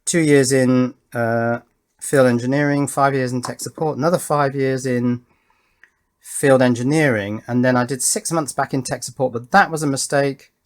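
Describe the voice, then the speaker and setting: Chatty middle-aged Englishman, medium pitch. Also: fairly even intonation, moderate pace. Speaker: electronics hobbyist video host. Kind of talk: casual chatty tutorial narration with reminiscence.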